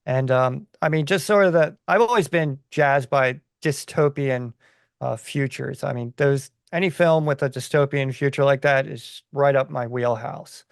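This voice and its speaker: A man giving his opinions in a casual podcast chat, enthusiastic but halting as he thinks aloud. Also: medium pitch, creaky, clean tone, no echo, studio quality.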